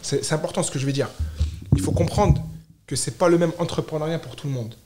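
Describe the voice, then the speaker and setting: A man with a fairly high voice talks in a roomy, echoing space. He is from France and chats informally as an interview guest, stressing points by repeating short phrases in bursts. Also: quick pace, over loud music. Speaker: podcast guest, startup founder. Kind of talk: casual, animated conversation.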